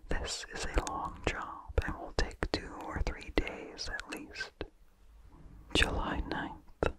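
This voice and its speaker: Slow, breathy whispered ASMR reader. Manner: slow, deliberate, measured phrasing. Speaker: narrator reading a horror story aloud. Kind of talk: whispered ASMR reading of vintage horror fiction.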